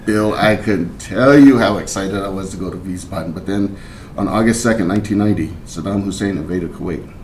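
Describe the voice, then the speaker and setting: A middle-aged man from Portland telling his life story in a casual interview, unhurried and halting, with many fillers and restarts. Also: low-pitched, darkish tone, slight background noise. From Portland, Oregon. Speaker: interview guest, veteran. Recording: roomy, mic at a distance.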